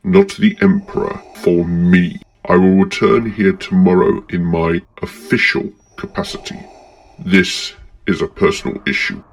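Man acting a slow, gravelly, menacing dark lord. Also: low voice, big pitch swings, soft background music, full character voice. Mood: stern, threatening. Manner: slow, deliberate, threatening. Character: menacing, commanding male dark lord in a space fantasy. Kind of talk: fan audio drama acting.

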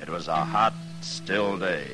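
An actor playing a weary, steady American frontier marshal in a 1950s radio western, dry, measured and understated. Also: male voice, sits low, over music. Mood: somber, reflective. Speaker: lead character and narrator.

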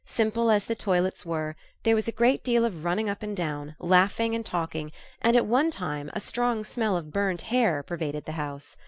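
A woman's voice: mid-range voice, animated, moderate pace, hardly any pauses, no echo, clean studio sound. A woman reading a classic novel aloud as scripted audiobook narration.

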